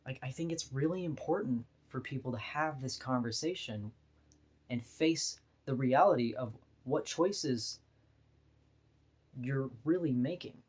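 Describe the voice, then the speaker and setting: A woman with a deep voice, talking bluntly and frankly in a candid personal vlog monologue, laughing now and then between points. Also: unhurried, darkish tone, slightly rough. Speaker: vlogger.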